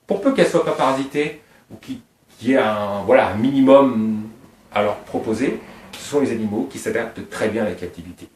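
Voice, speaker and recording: A middle-aged man from Réunion Island, a snake breeder, talks informally and at length, wandering from point to point. His voice sits at an ordinary pitch for a man. The room rings around him, and he sounds some distance from the mic. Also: darkish tone, reverberant. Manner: talkative, digressive, piles up details. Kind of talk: informal explanation during a breeding tour.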